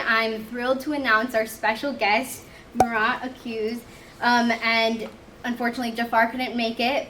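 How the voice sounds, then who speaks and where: Upbeat young woman host, kinda high voice, live event, little hiss. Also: fairly bright tone. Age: young adult. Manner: upbeat, reads prepared questions. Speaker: podcast host. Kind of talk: live podcast hosting.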